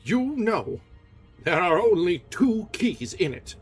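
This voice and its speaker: A man voicing a furious, menacing monster of a man in a loud, snarling voice for a storybook reading. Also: sits high, sing-song, takes it slowly, husky, faint music behind, voice pushed up, exaggerated voice. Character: furious menacing male monster, jealous captor.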